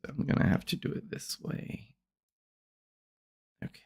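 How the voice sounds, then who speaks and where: A man who hosts a podcast, thinking aloud in a casual, halting way as he edits, often trailing off into quiet mutters. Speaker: podcast host editing his episode.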